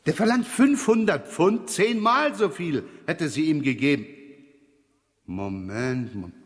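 An actor playing a middle-aged police inspector in a radio crime drama, weary, gruff and dryly sardonic. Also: male voice, fairly high pitch, expressive, slow pace, long reverb tail. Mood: urgent. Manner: dry, grumbling, wry. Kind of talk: 1970s German radio crime drama acting.

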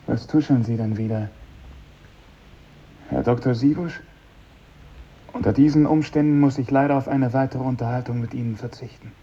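A man's voice: medium pitch, steady tone, moderate pace, pauses often, husky. An actor playing a mentally disturbed, intense man with a formal, probing manner in a 1950s German radio play. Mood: affronted, formal. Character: mentally disturbed former pupil, eerie, intense, easily affronted. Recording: dull vintage radio recording.

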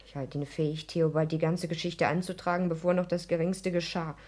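Actress as breathy, dreamy young wife. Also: female voice, on the low side, level tone, a little fast, darkish tone, natural voice. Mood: anxious. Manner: breathless, earnest. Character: naive, anxious, dreamy young wife, yearning for romance. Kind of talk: 1960s German radio play acting.

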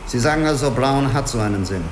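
An actor in a radio drama playing a skeptical, probing spaceship commander in a terse, controlled manner. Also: male voice, mid-range voice, animated, fast talker, noisy background, close to an everyday voice. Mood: cool, questioning. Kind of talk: German radio play dialogue and log.

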